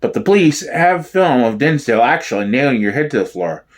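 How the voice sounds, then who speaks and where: Mock-documentary role: male performer as formal, probing TV interviewer, lower and steadier than the witnesses. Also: lively intonation, natural voice. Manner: measured, formal, inquiring. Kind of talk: mock documentary comedy reading.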